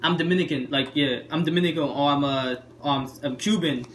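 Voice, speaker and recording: Fast-talking Haitian-born man, rambling. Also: a little high, fairly bright tone. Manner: rambling, many fillers like "what's it called". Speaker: podcast co-host. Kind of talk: casual chat during a card game. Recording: roomy room with faint background noise.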